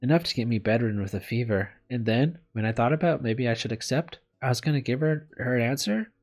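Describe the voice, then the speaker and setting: A man's voice: medium pitch, a little fast, natural voice. A man voicing a small, weary, caring woman teacher from a visual novel, subdued and reflective.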